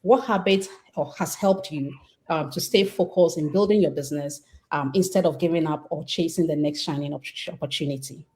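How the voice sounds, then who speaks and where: Woman from Ghana, panel host, lowish voice, mellow tone, measured pace with fillers. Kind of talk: live online panel discussion.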